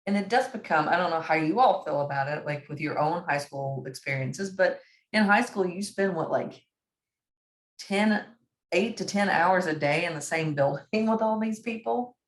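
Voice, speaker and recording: A woman's voice: on the low side, expressive, normal speed, roomy sound. A woman moderating a class discussion forum on a video call, talking conversationally and often checking in with "right?".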